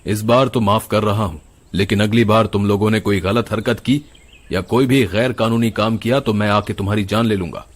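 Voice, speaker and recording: A man playing the stern, righteous, caring ghost of a dead doctor in an animated moral story, speaking in an earnest, scolding way. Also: lowish voice, normal speed, lightly acted. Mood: threatening. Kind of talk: animated Hindi moral horror story acting. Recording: music behind the voice.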